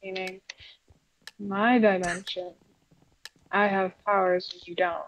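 A woman playing a dry, contrary puppet animatronic in improvised game roleplay, with terse, deadpan delivery. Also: medium pitch, takes it slowly, slight background noise. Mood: guarded, contrary. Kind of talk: improvised roleplay over gameplay chat. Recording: slightly dull lo-fi voice-chat mic.

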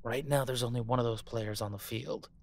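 A man's voice: medium pitch, steady tone, a little fast, creaky, dry recording, close to an everyday voice. A man playing a captive werewolf alpha soldier in an audio roleplay, defiant, protective and sardonic as he urgently argues and swears. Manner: profane, sardonic, urgent persuading.

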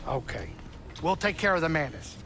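A high-pitched, excitable little pilot from a video game, voiced by a man. He grumbles with wary exasperation, his pitch jumping about. Music plays behind him. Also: moderate pace, close to an everyday voice. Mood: wry. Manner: exasperated, animated. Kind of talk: video game cutscene acting.

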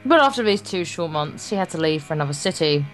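Lowish-voiced English woman reading a game aloud. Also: big pitch swings, quick pace, faint music behind, low-quality recording. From England. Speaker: let's play co-host. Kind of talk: casual commentary and reading a visual novel aloud.

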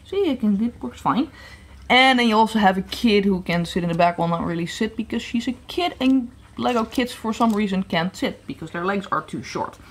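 A woman's voice: medium pitch, animated, a little fast. A woman from the Netherlands chatting casually through a toy review, hesitant with many fillers and restarts. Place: indoor room at a tabletop set.

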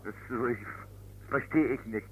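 A man's voice: medium pitch, normal speed, a bit bright, rough recording. A man calling to complain, blunt and indignant, in an old archive telephone recording. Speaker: complaining radio listener calling in. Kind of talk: phone complaint.

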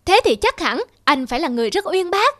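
A woman voicing a naive, anxious barn rat in an animated fable, polite and eager. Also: extremely high pitch, brisk, full character voice. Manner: eager, deferential. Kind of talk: animated fable character acting.

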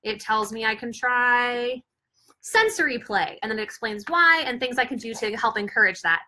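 A young woman with a bright, forceful voice pitched a little high, talking chattily to camera as she demonstrates baby gear. She sounds upbeat and loose, with plenty of fillers. It is recorded from a few feet away on a living room floor. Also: lively intonation, moderate pace. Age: young adult. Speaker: product reviewer and mother. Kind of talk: casual product demo to camera.

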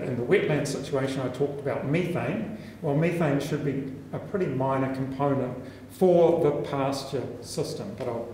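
A man's voice: medium pitch, warm tone. Echoey New man lecturing. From New Zealand. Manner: explanatory, with frequent ums and restarts. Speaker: university researcher presenting a seminar. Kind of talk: conference talk. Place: meeting room, indoors. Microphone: stand mic at a lectern. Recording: echoey hall, faint room noise.

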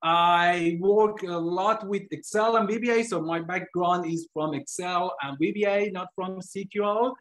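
A man's voice: sits high, fairly even intonation, measured pace, few pauses, fairly bright tone. Earnest man presenting on a video call. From Iran. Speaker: guest speaker, trainer. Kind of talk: online meetup presentation.

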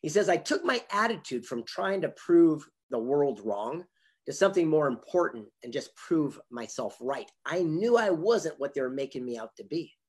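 A man in his fifties with a husky voice, telling motivational anecdotes emphatically on a video call and acting out the dialogue. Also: fairly high pitch, very animated, normal speed, darkish tone. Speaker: motivational speaker and author, interview guest. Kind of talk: animated motivational storytelling in an online interview. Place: indoor home office with memorabilia wall. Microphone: video call, computer mic.

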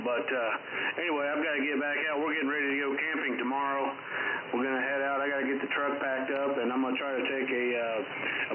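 A man chatting casually as an amateur radio operator, heard through a shortwave radio transmission. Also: mid-range voice. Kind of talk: casual ham radio chat. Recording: narrow, noisy shortwave ham radio signal.

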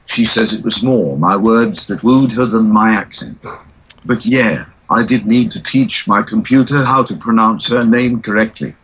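A man's voice: lowish voice, moderate pace, slightly rough, rough recording. A man from South Africa answering interview questions through a text-to-speech voice with a British accent, which sounds the same no matter how he feels. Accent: British English (synthetic voice). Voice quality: monotone synthetic speech that cannot convey emotion. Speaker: interview guest.

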